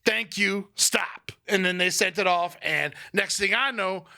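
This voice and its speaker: Man as burnout roadie, rambling absurd boasts; sharp, bright tone. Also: high-pitched, measured pace, vocal fry, exaggerated voice. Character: spaced-out burnout male roadie storyteller. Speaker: podcast co-host. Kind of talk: improvised comedy bit.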